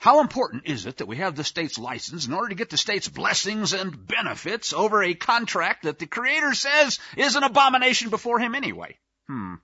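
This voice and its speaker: A man's voice: high voice, bright, forceful tone, slightly rough, no echo, dull audio. A male preacher giving an informal teaching sermon in a folksy, conversational way with sarcastic asides.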